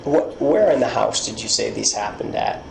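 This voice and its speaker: An American man questioning a suspect as a police interrogator, with procedural, halting questions. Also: lowish voice, animated, vocal fry. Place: indoor police interview room. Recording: echoey, noisy interrogation room audio.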